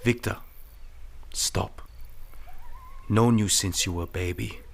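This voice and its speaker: A man playing a wise, unflappable Chinese-American detective from an old mystery radio drama. His voice is low and measured, and he speaks formal, clipped broken English in tidy sayings. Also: vocal fry, full character voice. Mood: calm, stern.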